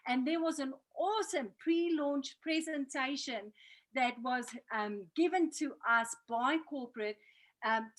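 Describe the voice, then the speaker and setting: A South African woman hosting an online business training over a video call in an earnest, motivational manner. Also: sits high. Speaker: training host.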